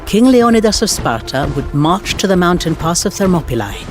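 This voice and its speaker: A man narrating history for game cutscenes as a measured, dramatic storyteller with wry asides. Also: high voice, very animated. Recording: music under the voice. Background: background music.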